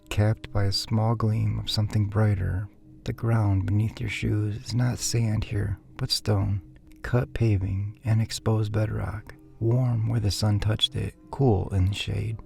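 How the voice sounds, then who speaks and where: A man with a low, creaky voice reads a guided sleep story softly and soothingly. His delivery is measured and very even in pitch, with faint music underneath. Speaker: guided meditation narrator. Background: faint background music.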